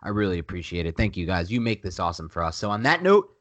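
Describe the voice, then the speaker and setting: A man's voice: low-pitched, sing-song, fast. A man with a nasal voice talking casually and emphatically as co-host of a sports podcast, repeating himself for emphasis.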